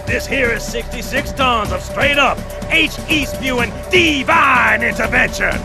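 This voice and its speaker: An actor playing a gruff, swaggering veteran marine sergeant in a video game, brash and folksy. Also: male voice, very high-pitched, a little slow, heavily acted. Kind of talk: video game cinematic acting. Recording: music under the voice.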